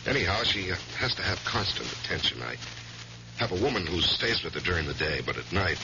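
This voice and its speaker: An actor playing an earnest, hesitant young married lawyer haunted by voices, in an old radio drama. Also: male voice, low voice, very animated, a little fast, gravelly, heavily acted. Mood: deferential, hesitant. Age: young adult. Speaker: lead actor and first-person narrator. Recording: slightly noisy dull 1970s radio recording.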